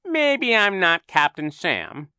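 A man voicing a sly, boastful villain in a storybook, growling and sneering with drawn-out emphasis. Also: sits high, very animated, sharp tone, clear, resonant tone, no echo, studio quality, pitched above the performer's own voice, heavily acted. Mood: wheedling. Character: sly boastful theatrical villain, adult male, gloating and sneering.

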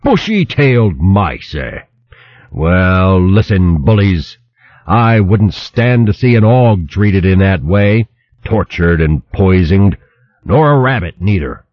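A man voicing a massive, bullying male hedgehog chieftain in a storybook, with booming, stern, rough rustic speech. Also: expressive, takes it slowly, dry recording, highs cut off, full character voice. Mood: stern threatening.

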